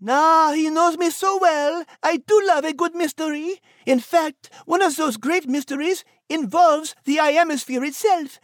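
A man voicing a dainty, excitable female cat with a French accent in an audio storybook. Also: extremely high pitch, unhurried, hardly any pauses, no echo, clean studio sound, pitched above the performer's own voice. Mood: delighted. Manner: gushing, dainty.

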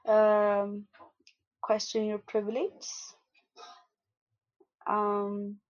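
A woman's voice: mid-range voice, completely flat delivery, very slow. A young woman, an activist, answering interview questions earnestly, with frequent restarts and fillers. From Ethiopia. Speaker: activist guest. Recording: muffled lo-fi audio.

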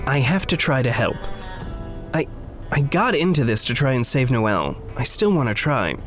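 An actor playing an earnest, stubborn idealist in an audio drama, speaking in precise, formal, halting phrases. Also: male voice, mid-range voice, sing-song, fairly bright tone, faint music behind. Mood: resolute, strained. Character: earnest, stubborn idealistic man going undercover at a company. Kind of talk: naturalistic audio drama acting.

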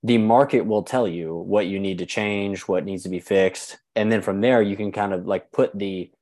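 A man's voice: lowish voice, steady tone, normal speed, darkish tone. A man hosting a podcast, chatting in an easygoing way with enthusiastic reactions. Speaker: podcast host. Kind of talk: casual interview chat.

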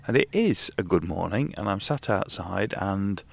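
A man hosting a casual solo podcast, speaking outdoors in a garden. He is croaky and nasal from hay fever, and rambles conversationally with frequent ums and restarts. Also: deep voice, lively intonation, normal speed. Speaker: podcast host.

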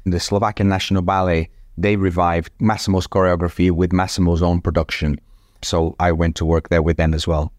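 A man, a former ballet dancer who now teaches, talking in a reflective conversation, halting often and restarting his sentences. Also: sits low, flat delivery, darkish tone, no room sound, clean studio sound. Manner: halting, with frequent restarts and laughs.

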